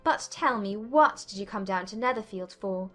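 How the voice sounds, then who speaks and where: A woman playing a witty, spirited young gentlewoman in a dramatized period romance audiobook, with a playful, articulate manner. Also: mid-range voice, normal speed, soft background music, lightly acted. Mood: playful, teasing.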